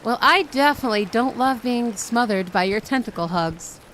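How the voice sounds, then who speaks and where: A woman's voice: on the high side, expressive, moderate pace, a bit bright, a little hiss or hum. A woman voicing a shy, grumpy young mermaid in a romantic roleplay audio, protesting indignantly and stammering in denial. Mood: embarrassed denial.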